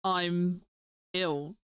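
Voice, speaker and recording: A woman's voice: on the low side, fairly even intonation, very slow, fairly bright tone, clear, resonant tone, no echo. A woman streamer chatting casually to her viewers, stuffy and nasal from a cold, rambling and giggling often. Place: home desk. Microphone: desk mic.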